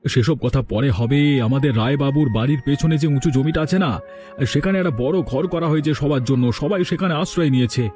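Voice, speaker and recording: A warm, dark-toned village father played by a man, pitched a little high. He speaks responsibly and urgingly in cartoon fairy tale style, with quiet music behind. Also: quick pace, close to an everyday voice. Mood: reassuring.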